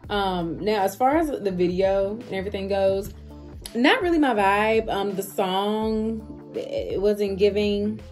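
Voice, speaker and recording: A woman's voice: mid-range voice, takes it slowly, a little mellow, faint music behind. An American woman giving casual reaction commentary as a video host, speaking in a blunt, slangy, conversational way.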